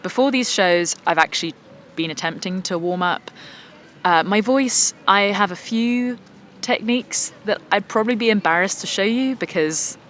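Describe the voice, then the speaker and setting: A woman's voice: mid-range voice, normal speed, fairly bright tone. A young adult woman, a singer-songwriter and guitarist, chatting casually as she reads out viewer questions and answers them with self-deprecating humour. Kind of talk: casual Q&A vlog.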